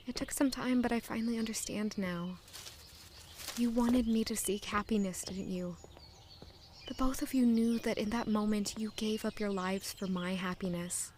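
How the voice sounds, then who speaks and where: A woman's voice: mid-range voice, a little hiss or hum, close to an everyday voice. A woman playing an earnest young lady-in-waiting with a secret past in an audio drama. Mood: wistful, quiet. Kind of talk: audio drama dialogue and inner monologue.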